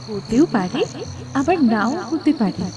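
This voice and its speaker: Woman as a gentle, fairly high-voiced magic mirror. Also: expressive, unhurried, slight background noise. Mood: gentle, serene. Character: kindly female magic mirror, gentle, once cursed. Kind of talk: children's cartoon fairy-tale dialogue. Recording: music clearly behind the voice.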